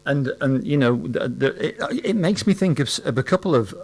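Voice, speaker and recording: A man's voice: medium pitch, lively intonation, moderate pace, darkish tone. A man in his sixties hosting a casual radio interview, jokey and rambling, a little hesitant. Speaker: radio host.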